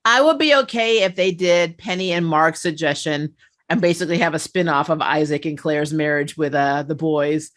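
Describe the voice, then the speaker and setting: A woman's voice: sits low, moderate pace, fairly bright tone. A woman chatting casually and animatedly as she hosts a discussion reviewing a TV show.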